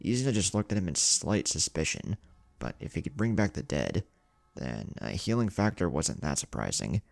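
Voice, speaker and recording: A man's voice: low-pitched, very animated, moderate pace, gravelly, no room sound. A man narrating a scripted fan fiction story. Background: music.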